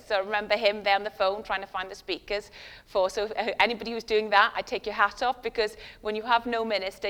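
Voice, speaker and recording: A woman's voice: medium pitch, sharp tone. A middle-aged woman from West Wales with a Welsh accent, a first-language Welsh speaker, giving a chatty, self-deprecating sermon with many fillers and restarts. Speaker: guest speaker.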